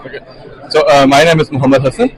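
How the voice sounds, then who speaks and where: A man's voice: medium pitch, fairly even intonation, fast, rough recording. A man from Pakistan in his thirties, a researcher giving a casual interview at a conference. He speaks thoughtfully and uses a lot of fillers. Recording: crowd noise behind.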